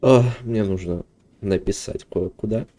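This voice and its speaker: Low, mellow Russian man, hesitant. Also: moderate pace. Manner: hesitant, muttering. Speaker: video game streamer. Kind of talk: casual gameplay commentary. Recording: faint game music behind.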